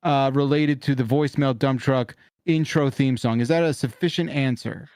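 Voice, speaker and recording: A middle-aged man hosting a call-in podcast in casual chat, often halting and restarting his sentences. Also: mid-range voice, fairly even intonation, a little mellow, smooth, no room sound. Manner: rambling, halting, self-interrupting. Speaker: podcast host of a call-in show.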